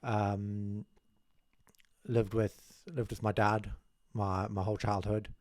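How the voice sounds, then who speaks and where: A young man from South Africa, speaking as a guest in a small studio. He talks in a modest, rambling way with many restarts. His voice sits low and close, with a dry, dead sound at a desk mic. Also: flat delivery, takes it slowly, a little mellow, dry recording. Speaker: podcast guest. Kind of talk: casual interview chat. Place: small studio room with curtains. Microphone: desk mic on boom arm, headphones.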